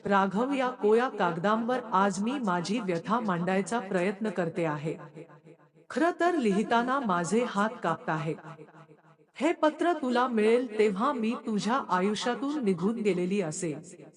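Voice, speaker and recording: A woman's voice: mid-range voice, animated, measured pace, big-room sound, crowd noise, lightly acted. A woman voicing an anguished estranged wife in a plaintive, confessional letter reading. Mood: sorrowful. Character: hurt, anguished estranged young wife writing farewell letter.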